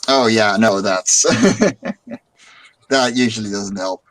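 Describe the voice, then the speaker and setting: A man's voice: lowish voice, very slow, fairly bright tone. Rambling male stream host. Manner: rambling, repeats words when starting a thought. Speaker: stream host and comic artist. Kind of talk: casual chat.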